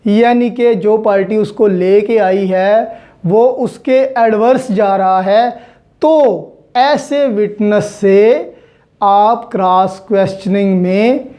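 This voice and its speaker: A woman's voice: mid-range voice, slow pace, dark tone. A woman with a Pakistani accent teaches law in an explanatory lecture, mixing in English legal terms and restating key points didactically.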